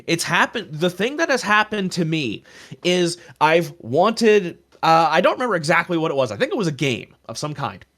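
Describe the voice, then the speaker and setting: A man's voice: a little high, big pitch swings, normal speed, fairly bright tone. A man co-hosting a casual discussion of tabletop roleplaying, telling stories in an earnest, emphatic way. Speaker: podcast co-host.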